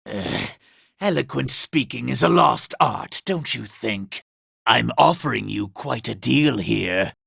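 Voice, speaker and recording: A treacherous, self-loving robot villain from a video game. The voice is heavily gravelled and piercing, with big theatrical swoops in pitch. Every line is delivered with pompous, sneering contempt for lesser beings. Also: male voice, animated, takes it slowly, fairly bright tone, no room sound, clean studio sound, full character voice. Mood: impatient, condescending. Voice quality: raspy, gravelly. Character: vain, scheming, treacherous robot villain, cowardly under threat. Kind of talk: video game character acting.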